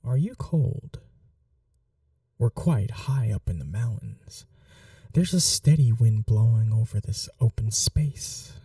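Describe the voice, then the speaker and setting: A woman voicing a doting but cruel vampire mistress in an intimate ASMR roleplay, teasing and coaxing in a breathy voice that often drops to a whisper. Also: extremely low pitch, lively intonation, takes it slowly, mellow, no echo, clean studio sound, far from the mic, heavily acted. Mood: gentle.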